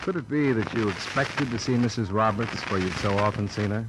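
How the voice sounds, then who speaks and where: An American actor playing a cool, persistent insurance investigator, dry and matter-of-fact, in an old radio noir drama. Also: male voice, lowish voice, brisk, natural voice. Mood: probing. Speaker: narrator and lead actor. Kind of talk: 1940s-50s radio noir, first-person narration and dialogue. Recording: very noisy old radio recording.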